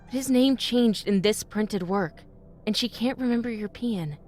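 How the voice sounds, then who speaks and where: An actress playing a determined, inquisitive devotee of a trickster god in a fantasy audio drama, speaking earnestly and directly. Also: female voice, fairly high pitch, unhurried, a little mellow. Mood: concerned. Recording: music bed under the voice.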